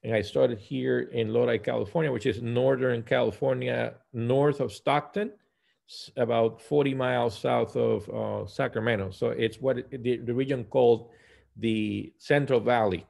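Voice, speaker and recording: A man in his fifties from Puerto Rico with a Puerto Rican accent, a native Spanish speaker, talking conversationally as a guest pastor on a video call, halting and correcting himself. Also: mid-range voice, fairly even intonation, gravelly, darkish tone. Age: 50s. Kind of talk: conversational personal account. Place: small room at home, indoors.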